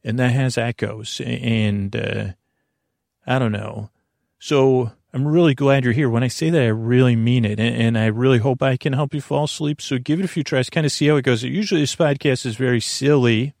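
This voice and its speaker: Male sleep podcast host, gravelly and earnest, rambling with stop-start phrasing, recorded close and dry. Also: mid-range voice, darkish tone, no room sound. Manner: halting, meandering, trailing off mid-sentence. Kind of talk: rambling, informal bedtime podcast monologue.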